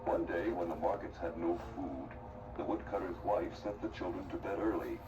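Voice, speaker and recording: A man's voice: deep voice, steady tone, normal speed, lightly acted. A man narrating a scripted fairy tale as its storyteller. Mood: solemn storytelling. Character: measured, very deep-voiced male fairy-tale storyteller. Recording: distant voice under loud music.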